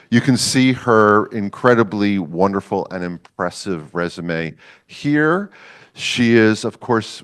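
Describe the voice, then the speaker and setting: A man with a fairly low voice hosts a public lecture. He talks casually, with fillers and light jokes for the audience, before introducing the guest speaker. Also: clean tone. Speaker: event host introducing a lecturer.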